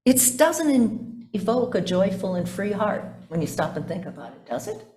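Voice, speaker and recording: A woman pastor preaching a sermon in measured, deliberate phrases, with repetition and conversational asides to the congregation. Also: mid-range voice, very animated, dark tone.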